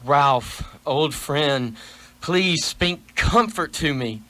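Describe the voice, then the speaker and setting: A man's voice: a little high, expressive, drawn-out words, sharp tone, full character voice. A man playing a frightened, pleading miser in a radio play, theatrical and prone to stammering. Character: frightened, pleading miserly businessman facing a ghost.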